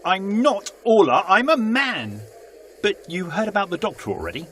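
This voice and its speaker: An actor playing a sceptical, puzzled travelling companion from Britain in an audio drama, dry and questioning. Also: male voice, high-pitched, sing-song, normal speed, a bit bright, slight background noise. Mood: dry, wary. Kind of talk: full-cast audio drama acting.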